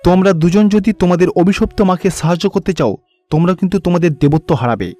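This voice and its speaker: Man voicing a high-pitched, stern wrathful god. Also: quick pace, mellow, soft background music, lightly acted. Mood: stern warning. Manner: grave, reproachful, pronouncing judgement. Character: stern, wrathful male god scolding his wife.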